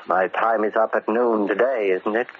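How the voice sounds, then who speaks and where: A dull, noisy old radio drama recording carries a low American male voice. A man acts a bitter middle-aged convict, grim and deliberate, set on settling an old debt. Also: fairly even intonation, close to an everyday voice. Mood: grimly resolved.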